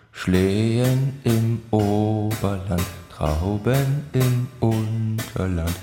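A man with a Swabian accent slowly delivering the verses of a folk song. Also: lowish voice. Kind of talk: slow singing or chanting of a folk song. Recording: roomy echo with instrument.

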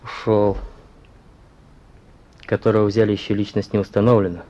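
An actor playing a plain-spoken, grim policeman with a husky voice, reporting colloquially in a Russian radio play. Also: fairly low pitch, level tone, normal speed, mellow, muffled recording, natural voice. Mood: grim, bitter. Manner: colloquial, matter-of-fact, grim.

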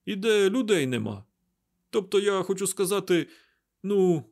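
A man's voice: high-pitched, animated, measured pace, creaky, darkish tone, pitched above the performer's own voice, heavily acted. A man voicing a panicky, hot-tempered young man in an audiobook, agitated, sputtering and exclamatory.

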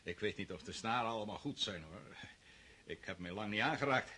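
An actor playing a jovial old Russian country uncle, rustic and hearty, in a radio drama. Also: male voice, on the low side, expressive, a little fast, bright, forceful tone, husky, exaggerated voice. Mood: mellow, contented.

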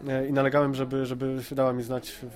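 A man's voice: medium pitch, completely flat delivery, fast. A man giving a casual interview, hesitant and often repeating words, with a tongue-in-cheek streak. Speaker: amateur voice actor being interviewed. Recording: busy crowd chatter behind. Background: crowd chatter.

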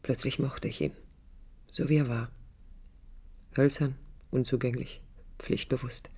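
A woman's voice: very deep voice, mellow, husky, exaggerated voice. An actress playing an idealistic, stubborn young architect in a radio drama, thoughtful, intimate and earnest in her delivery. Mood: wistful. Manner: intimate, thoughtful, earnest, at times dropping to near whisper. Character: idealistic young female architect, reflective yet stubborn and defiant. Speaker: lead actor and first-person narrator of a radio play. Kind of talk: 1980s East German radio drama acting, introspective monologue.

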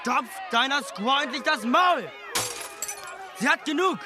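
A jeering warrior in a radio play. The voice is strained far up in pitch, angry and taunting, amid loud noise. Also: male voice, lively intonation, a little slow, crowd noise. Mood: jeering anger. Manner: shouted, taunting. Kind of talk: radio drama acting. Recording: very noisy background.

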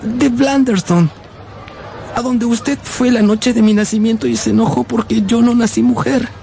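High-pitched pleading orphan boy. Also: steady tone, moderate pace, creaky, mellow, a faint crowd, slight background noise. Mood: pleading, tearful. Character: ten-year-old runaway orphan boy, pleading and tearful. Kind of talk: radio drama acting.

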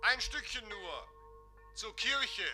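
A man's voice: sits high, animated, takes it slowly, pushed and bright, faint music behind, heavily acted. A man in a German radio play acting a dreamy, entranced pastor, speaking in short, drifting phrases. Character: dreamy pastor drawn away uphill, quietly entranced.

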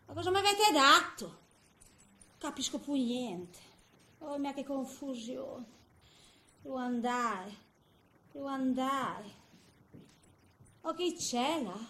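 A woman's voice: high voice, lively intonation, slow, long pauses, sharp tone, echoey room, exaggerated voice. An actress playing a frail, bewildered eighty-year-old grandmother who rambles to herself in a theatrical monologue. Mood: groggy, confused.

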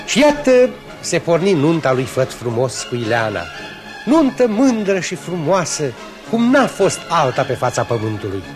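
A man narrates a fairy tale with lyrical feeling, his voice rising and falling richly. Soft music sits behind him in an old radio production. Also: on the high side. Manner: lyrical and expressive.

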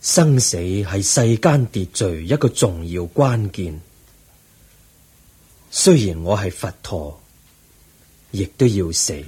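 An actor playing an aged, serene Buddha in a Cantonese radio drama, with a Hong Kong accent and a solemn, measured, husky delivery. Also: male voice, low voice, animated, dark tone, heavily acted. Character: aged, serene Buddha, eighty, weary yet calm teacher.

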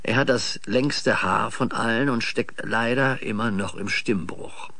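A man's voice: mid-range voice, measured pace, sharp tone, no room sound, studio quality. A man giving scripted narration as the storyteller of a 1970s children's radio play.

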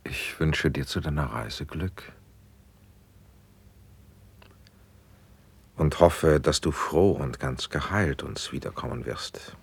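An actor playing a gracious, measured prince in a classic German verse radio drama, speaking in formal, deliberate declamation. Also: male voice, very deep voice, creaky, breathy edge, exaggerated voice. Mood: gracious, fond.

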